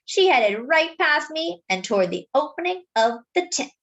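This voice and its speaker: A woman reading a children's story aloud in an expressive, dramatic, deliberate way, as a storyteller speaking to young listeners. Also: high-pitched, dull audio.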